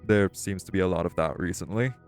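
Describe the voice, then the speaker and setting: Male, lowish voice, actor as self-assured tech executive for audio roleplay: polished, articulate, friendly yet guarded, music underneath. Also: close to an everyday voice. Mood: teasing, curious. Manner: polished, measured, articulate corporate speech. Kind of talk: audio roleplay acting, one-sided dialogue.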